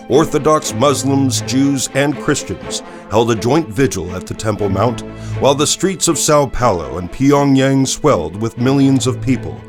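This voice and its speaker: A man playing a solemn news anchor, reporting in a grave, measured, formal broadcast style. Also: mid-range voice, natural voice. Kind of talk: dramatic broadcast news narration. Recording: music bed behind the voice.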